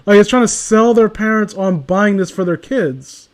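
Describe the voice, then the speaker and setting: A man's voice: sits high, moderate pace. A man in a casual conversation, rambling through exasperated, opinionated rants with plenty of swearing. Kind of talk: casual ranting chat.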